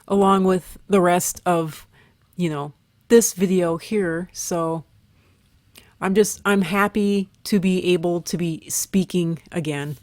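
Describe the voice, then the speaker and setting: A woman narrating an art video in a casual voiceover, her voice still rough and weak from recovery, rambling and hesitant. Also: fairly low pitch, fairly even intonation, takes it slowly, a little mellow, dry recording. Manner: rambling, hesitant, with fillers and restarts. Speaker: artist vlogger.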